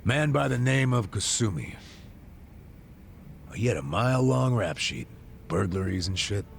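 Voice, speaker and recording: A gruff older American ex-cop from a video game, low and gravelly. He talks in a blunt, salty, conversational way that swings widely in pitch, world-weary yet soft-hearted underneath. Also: animated, measured pace, a little hiss or hum. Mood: matter-of-fact, gruff. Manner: blunt, casual, salty-tongued. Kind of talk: English video game dub acting, conversational.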